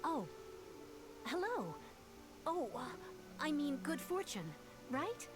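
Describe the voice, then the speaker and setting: A woman playing an earnest young female refugee in a video game, telling things in a measured, sincere way. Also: sits high, wildly swooping pitch, full character voice. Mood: polite. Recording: game music behind the voice.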